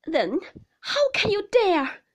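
A woman voicing an emotional young mother, tearful and defensive, pleading in a high, shrill voice. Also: wildly swooping pitch, slow, heavily acted. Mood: distressed.

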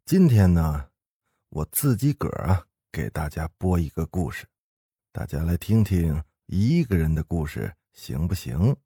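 A man's voice: deep voice, big pitch swings, measured pace, mellow, slightly rough, no echo, studio quality. A man hosting and narrating ghost stories in a conversational, folksy, suspenseful storytelling style. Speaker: horror podcast host and narrator.

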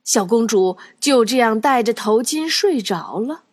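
Animated, high-voiced woman storyteller. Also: measured pace, dry recording, studio quality. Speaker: narrator. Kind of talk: expressive children's story narration.

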